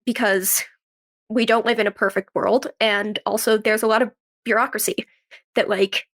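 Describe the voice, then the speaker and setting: A woman's voice: mid-range voice, steady tone, moderate pace, a bit bright. A woman speaking casually as an interview guest, in a conversational way peppered with "like". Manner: conversational, frequent "like". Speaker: guest, actress and writer.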